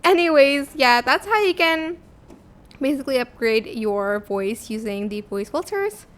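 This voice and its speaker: A woman with a high, youthful-sounding voice hosting a casual software tutorial in a chatty, informal way, thinking aloud as she goes. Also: lively intonation, measured pace. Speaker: tutorial host.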